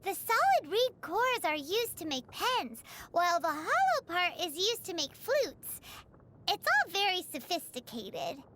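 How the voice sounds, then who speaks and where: A woman voicing a tiny, excitable, childlike fairy companion, chirpy and eager, in a video game. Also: very high-pitched, a little slow, heavily acted. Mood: bright, chatty. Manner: chirpy, refers to herself in third person. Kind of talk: video game character voice lines.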